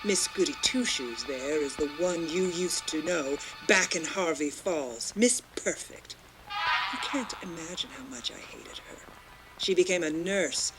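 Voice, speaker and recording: A bitter, scheming femme fatale taunts in melodramatic film-noir style. She sounds like a vindictive playgirl in a radio play, with music clearly playing behind her. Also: fairly low pitch, expressive, a little slow, low-quality recording. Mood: scornful.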